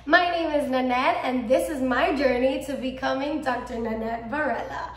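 A woman's voice: sits high, moderate pace, echoey room. A woman of twenty-four from Miami with a Cuban-American accent, talking to camera in a casual, enthusiastic vlog from a small room at home. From Miami, Florida. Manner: bubbly, enthusiastic, gestures a lot. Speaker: vlogger, medical student.

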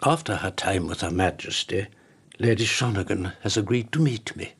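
An actor in a radio drama playing a gloomy, wary older lord at a Japanese court, with a husky voice. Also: male voice, lowish voice, expressive, normal speed, dry recording, full character voice. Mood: gloomy, curt. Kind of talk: BBC radio drama acting.

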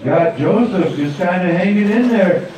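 High-voiced man calling a race. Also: measured pace, heavy reverb, far from the mic, slight background noise, poor audio. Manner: steady play-by-play calling. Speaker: race announcer. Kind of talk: live race commentary. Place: outdoor dirt track.

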